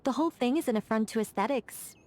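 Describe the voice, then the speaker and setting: A woman's voice: fairly high pitch, quick pace, dark tone, natural voice. A woman voicing a wry, chatty game mage. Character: poised playful female mage, mock-dignified. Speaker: game character. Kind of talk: game voice lines.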